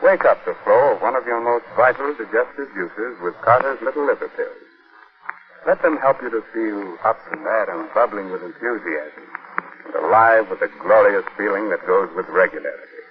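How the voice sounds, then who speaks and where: A man hosting and announcing a 1940s radio show in a theatrical, measured, sly manner. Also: fairly low pitch. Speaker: radio host and sponsor announcer. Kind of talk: 1940s radio scripted narration. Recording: tinny, band-limited 1940s radio recording.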